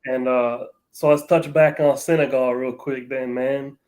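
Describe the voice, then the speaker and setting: Male interview host, mid pitch, flat intonation, friendly and encouraging. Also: a little slow. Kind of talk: casual interview hosting.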